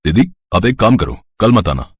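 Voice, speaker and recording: Man as easygoing young bachelor, low and husky. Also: big pitch swings, normal speed, no room sound, studio quality. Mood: kind, curious. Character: friendly, respectful young bachelor employer. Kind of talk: dramatised Hindi moral story.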